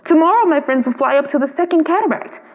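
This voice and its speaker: A small, proud swallow from a storybook , acted out by a woman. The voice is high and chatty, with a self-important yet kind-hearted manner. It rings in a long reverb. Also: animated, quick pace. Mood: dreamy.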